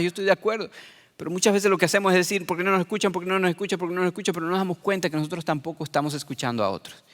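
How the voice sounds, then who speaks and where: A young pastor with a light Venezuelan Spanish accent delivers his sermon with earnest conviction, often repeating a phrase for weight. His voice sits high for a man. The sound carries a long, ringing reverb from the church hall. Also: a bit bright.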